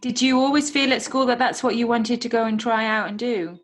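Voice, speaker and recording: A woman's voice: a little high, steady tone, fairly quick. A woman, hosting a casual interview in an interested, encouraging way. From North Wales. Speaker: podcast host, interviewer.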